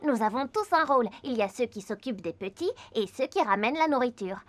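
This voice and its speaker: Woman as a high-voiced, earnest young boy meerkat. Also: animated, fast talker, natural voice. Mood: friendly, proud. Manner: earnest, explanatory. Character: cautious, friendly, proud young male meerkat. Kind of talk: preschool cartoon dialogue.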